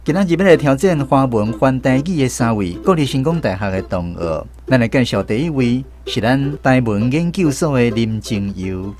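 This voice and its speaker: A man's voice: medium pitch, animated, moderate pace, hardly any pauses, a little mellow, faint music behind. A man with a Taiwanese accent hosting a language lesson segment on the radio as quizmaster. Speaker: radio show host and quizmaster.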